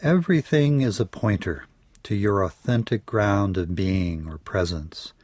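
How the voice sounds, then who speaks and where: A man leading a guided yoga nidra meditation in a measured, soothing way, letting his phrases trail off softly. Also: on the low side, no room sound, clean studio sound.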